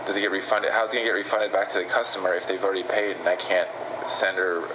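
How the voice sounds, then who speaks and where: A man with a fairly low voice argues his case as a customer on the phone. He is insistent and stumbles into repetitions as he presses his questions. There is clear noise over the recorded call. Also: level tone. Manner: insistent, argumentative, halting repetitions. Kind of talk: phone complaint.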